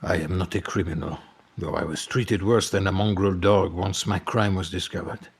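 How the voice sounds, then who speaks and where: An actor playing a jealous, embittered male film producer in an audio drama, speaking formally and with grievance in a gravelly, husky voice. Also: low voice, steady tone, moderate pace. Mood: bitter, self-justifying. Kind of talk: dramatic audio fiction confession.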